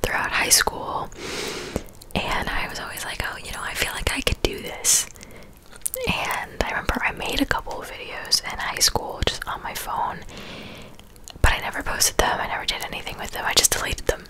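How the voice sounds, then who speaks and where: A woman in her twenties speaking in a soft, breathy whisper, chatting casually and unhurriedly as an ASMR creator answering viewers. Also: moderate pace, rough recording. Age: 20s.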